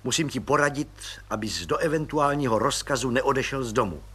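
A man's voice: medium pitch, animated, fairly bright tone, breathy edge. A man doing a dry, curt army officer. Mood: dry, businesslike. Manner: dry, curt, matter-of-fact. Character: dry, businesslike male lieutenant colonel.

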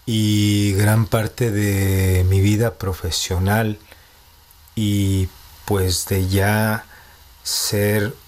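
A man in his thirties with a Mexico City accent speaks in a low, level voice that hardly rises or falls. He takes his time, weighing each phrase and thinking aloud as he answers an interviewer. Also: level tone, a little mellow. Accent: Mexico City Spanish. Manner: measured, reflective, thinking aloud. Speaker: composer and postdoctoral researcher.

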